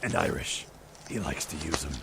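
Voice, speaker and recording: A man playing a gruff, cocky, impatient brawler in an audio drama, gravelly and sardonic, with clipped delivery. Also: rumbling low, lively intonation, moderate pace, full character voice. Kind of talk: fan audio drama acting. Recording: very noisy home recording.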